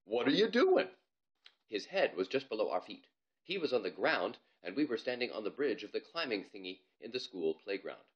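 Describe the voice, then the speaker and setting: A man's voice: lowish voice, expressive, a little fast, fairly bright tone, muffled recording. A man, an author, reading his children's story aloud in a theatrical, comic storytelling manner. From Canada.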